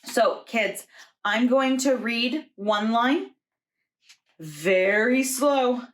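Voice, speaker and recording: A woman preschool teacher reading a children's storybook aloud in a deliberate, expressive storytelling way. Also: on the high side.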